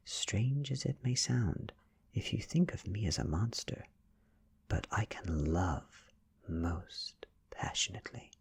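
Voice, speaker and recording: A man with a British accent reading a story in a hushed, deliberate ASMR style, mostly whispered, close to the microphone. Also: on the low side, vocal fry, slightly rough. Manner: hushed, deliberate, lingering between short phrases. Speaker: audiobook reader.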